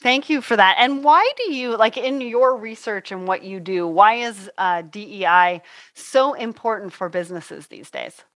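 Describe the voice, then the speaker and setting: A woman's voice: mid-range voice, normal speed, fairly bright tone, no echo. A woman hosting and moderating a panel discussion in an upbeat, enthusiastic way.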